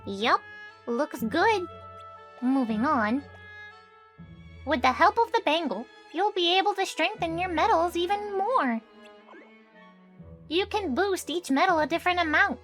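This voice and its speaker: Woman doing a tiny cheerful cat guide from a game dub, squeaky high voice, faint music underneath. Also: lively intonation, a little slow, pauses often, a bit bright, exaggerated voice. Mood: encouraging. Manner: upbeat, encouraging. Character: small cheerful mentoring cat creature. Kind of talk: fan-dub game voice acting.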